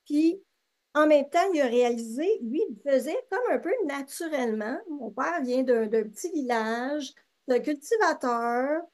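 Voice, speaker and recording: A woman's voice: high voice, expressive, normal speed, a little mellow. A middle-aged woman with a Québécois French accent, chatting as an interview guest with many fillers and restarts. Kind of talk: casual interview conversation.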